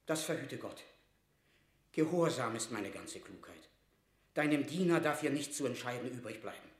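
An actor playing an anxious, dutiful royal secretary in a classic radio drama, speaking in a formal, pleading, theatrical manner. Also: male voice, medium pitch, expressive, moderate pace, natural voice. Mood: alarmed, pleading. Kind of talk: classic German radio play acting of verse drama. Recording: roomy, reverberant radio drama studio.

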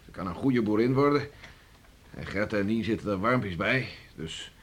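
Male actor as island farmer father, radio drama, bluff, gruff, hearty, teasing, colloquial. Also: fairly low pitch, expressive, normal speed, a little hiss or hum, natural voice. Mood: bluff, easygoing. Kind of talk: Dutch radio drama acting.

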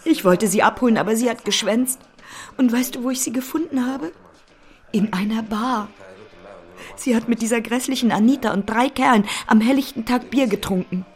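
An actress as an exasperated middle-aged wife and mother in a radio drama. Her voice is high. She speaks in a fretful, complaining way. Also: normal speed, natural voice. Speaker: actor in a radio play.

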